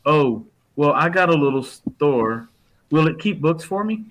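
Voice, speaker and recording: A man's voice: on the high side, animated, a little slow, a bit bright. Mild, folksy shopkeeper dad, played by a man. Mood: curious. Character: mild, folksy small-store owner, henpecked father. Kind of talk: hobby radio play acting.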